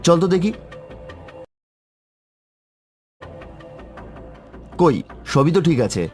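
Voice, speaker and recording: A man's voice: on the high side, expressive, fast, darkish tone, soft background music, voice pushed up, close to an everyday voice. A man voicing a bold, skeptical young man who scolds his friend in a cartoon horror story. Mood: dismissive. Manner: dismissive, scolding.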